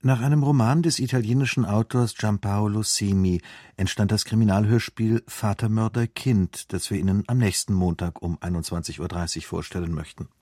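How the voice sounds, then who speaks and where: A man's voice: fairly low pitch, steady tone, normal speed, mellow, dry recording, clean studio sound. A man announcing an upcoming radio programme in a scripted, composed presenter style.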